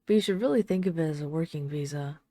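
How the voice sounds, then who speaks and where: Low, mellow flirtatious vampire lady played by a woman. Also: dry recording. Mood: probing, matter-of-fact. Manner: teasing, coaxing, deliberate with lingering pauses. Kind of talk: intimate audio roleplay addressed to the listener.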